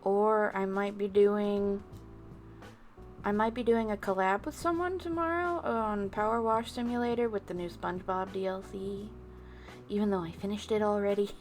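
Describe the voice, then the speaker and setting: A woman livestreamer chatting casually to her viewers, musing off the cuff with pauses between thoughts. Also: medium pitch, steady tone, soft background music. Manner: unscripted musing, addressing chat, with pauses. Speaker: VTuber livestreamer.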